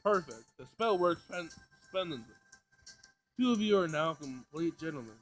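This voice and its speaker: A man's voice: fairly high pitch, expressive, normal speed, close to an everyday voice. A man voicing a magical girl who preaches peace and love in a cutesy, theatrical, bossy way. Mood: coaxing. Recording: music behind the voice.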